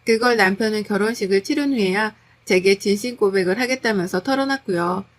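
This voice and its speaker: A woman's voice: mid-range voice, a little fast. A woman reading a scripted first-person story as its narrator.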